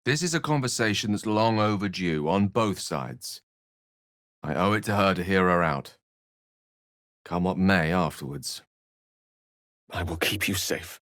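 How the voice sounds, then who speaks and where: A wry, erudite wizard with a slightly low voice that moves through wide, theatrical swings of pitch. He speaks in polished, articulate phrases with an earnest streak, as a fantasy video game companion, recorded close and dry. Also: animated, measured pace, long pauses, fairly bright tone, dry recording. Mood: tender, sincere. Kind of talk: scripted video game voice acting.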